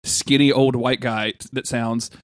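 A man's voice: mid-range voice, steady tone, a little slow, dry recording, studio quality. Laid-back jokey man co-hosting. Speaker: podcast co-host. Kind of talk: casual chat.

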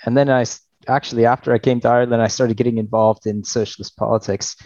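A man's voice: mid-range voice, level tone, fast, darkish tone, no room sound, muffled recording. A man in his forties, originally from Alaska, answering as a podcast guest in a thoughtful way, with restarts and wry laughs. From Alaska, United States. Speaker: podcast guest, party official. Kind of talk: conversational interview answers.

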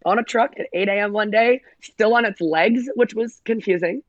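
A raspy-voiced woman, a podcast guest chatting casually, slightly hoarse. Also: medium pitch, normal speed, dry recording, lo-fi sound. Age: 30s. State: recovering from covid, hoarse. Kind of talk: casual chat.

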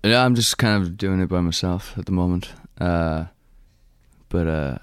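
A young man with a Welsh accent chats as a guest musician. His voice sits very low and comes through smooth and clear. He talks softly and haltingly, recorded dry with little room sound. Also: no room sound. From Wales. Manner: soft-spoken, halting, laughs often. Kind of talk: casual interview chat.

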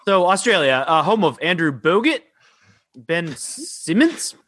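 A man hosting a podcast, talking in an excitable, jokey way with quick asides. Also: high voice, noisy background. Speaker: podcast host. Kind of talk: casual chat.

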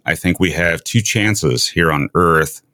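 A man hosting a conversational science discussion, talking in a measured way with short emphatic phrases. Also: low-pitched, pushed and bright, dry recording, clean studio sound. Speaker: show host, interviewer.

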